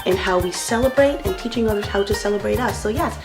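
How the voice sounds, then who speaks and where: A woman from the Caribbean talking casually as a guest author on a children's show, animated and playful. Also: mid-range voice, quick pace, echoey room. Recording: music playing behind the voice. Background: background instrumental music.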